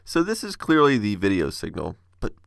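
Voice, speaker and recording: A man's voice: lowish voice, huge pitch swings, normal speed, creaky, a little hiss or hum. A man in his forties hosting a tech video, explaining things in a conversational way. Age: 40s. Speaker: host of a retro technology video channel.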